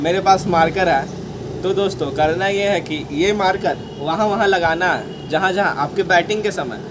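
A young man, giving instructions to camera as host of a coaching video, recorded outdoors on a rooftop. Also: on the high side. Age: young adult. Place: outdoor rooftop terrace among brick buildings. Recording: clearly noisy outdoor ambience, traffic hum.

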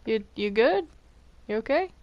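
A woman with a high voice chats casually as she plays a game with friends. She is playful and teasing, and tends to repeat words to get attention. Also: lively intonation, unhurried, dry recording, highs cut off. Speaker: gamer in a group game session. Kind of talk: casual gaming chat.

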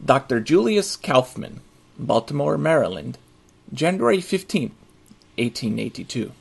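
An actor playing a doctor who reads out his written account to scouts in a raspy, creaky audio-drama voice. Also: mid-range voice, sing-song, measured pace, full character voice. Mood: formal, animated. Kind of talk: dramatized audio journal reading.

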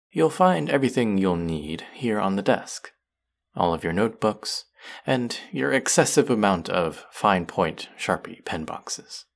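A man's voice: low-pitched, creaky, heavily acted. A man voicing a smug, taunting young fantasy villain who menaces his captive in a theatrical roleplay audio monologue. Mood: mocking.

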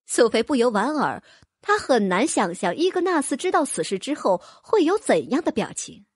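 A woman narrating a fantasy audiobook in an expressive storytelling manner. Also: high-pitched, moderate pace, slightly rough, dry recording, studio quality. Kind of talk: scripted narration.

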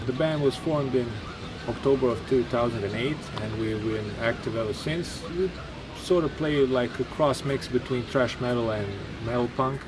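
A man's voice: medium pitch, moderate pace, hardly any pauses. A young man from Bosnia and Herzegovina, a native Bosnian speaker, speaking thoughtfully in a documentary interview, often repeating words. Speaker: band drummer. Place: outdoors by a graffiti wall. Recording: outdoor noise behind.

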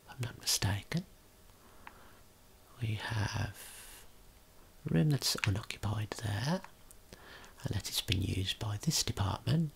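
A man giving a gentle, unhurried ASMR tour of a video game in a breathy, whispered, soft-spoken voice. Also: on the low side, slight background noise. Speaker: ASMR creator and tour guide.

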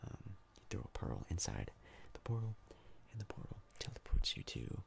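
A young man giving soft-spoken ASMR game commentary in a breathy, crackly whisper, casual and rambling with hesitant pauses. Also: low-pitched, very animated. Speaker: ASMR gaming YouTuber.

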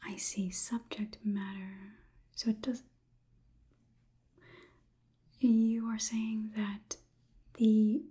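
A young woman playing a polite, condescending AI robot overlord in a slow, breathy ASMR whisper. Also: mid-range voice, warm tone, close to an everyday voice. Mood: calm, clinical. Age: young adult. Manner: slow, soothing, clinical, patronizing. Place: small indoor room.

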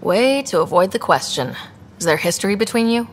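A woman's voice: mid-range voice, sing-song, a little fast, a little hiss or hum, close to an everyday voice. A woman voicing a young space explorer in a video game, earnest, direct and full of probing questions. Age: young adult. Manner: direct, inquisitive. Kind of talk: video game dialogue acting.

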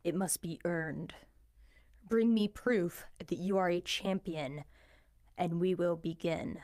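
A woman's voice: on the low side, slow, darkish tone, no room sound, clean studio sound. A woman playing a determined young female superhero in video game combat lines, clipped and assertive. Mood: resolute.

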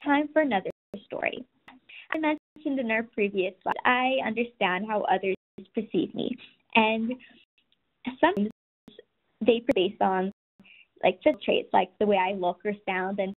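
A soft-spoken woman in her twenties giving a scripted presentation, telling stories and speaking directly to listeners. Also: a little high, measured pace. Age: 20s. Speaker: webinar presenter. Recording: tinny phone-like audio.

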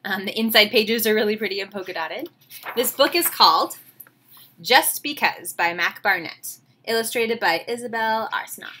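A woman, reading a picture book aloud to children with expressive, enthusiastic, chatty asides. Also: mid-range voice, moderate pace. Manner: expressive, enthusiastic, questioning the listeners. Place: small home room, indoors.

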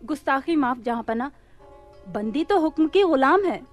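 An actress playing an obedient, flattering palace maid with deferential delivery in a radio play. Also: female voice, high-pitched, moderate pace, highs cut off, soft background music. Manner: courtly, deferential.

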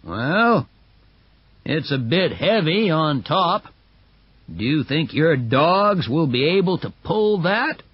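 A man's voice: a little high, big pitch swings, takes it slowly, husky, no echo, muffled recording, pitched above the performer's own voice, full character voice. A man voicing a seasoned, dry-spoken onlooker giving advice in a read-aloud story. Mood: wry. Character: wry, seasoned northern onlooker men.

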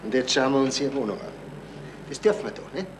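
An actor playing a dogged, sardonic police detective in a radio crime drama, blunt, sceptical and insistent. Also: male voice, mid-range voice, animated, fast, lightly acted. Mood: sardonic pressing. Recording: noisy 1970s radio drama recording.

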